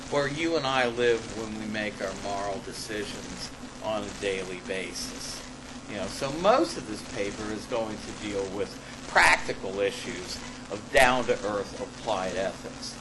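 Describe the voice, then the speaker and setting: Man lecturing over clearly noisy recording. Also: fairly low pitch. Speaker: college ethics lecturer and paper author. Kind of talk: reading an academic paper aloud to a class with asides. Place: classroom in front of students. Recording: noisy amateur classroom recording.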